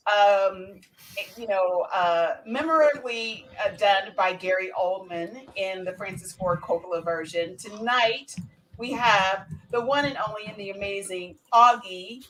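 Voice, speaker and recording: A woman's voice: medium pitch, takes it slowly, a bit bright, slight background noise, low-quality recording. A woman hosting a casual group show in a giggly, playful way, introducing and bantering. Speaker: host introducing the cast of an amateur table read.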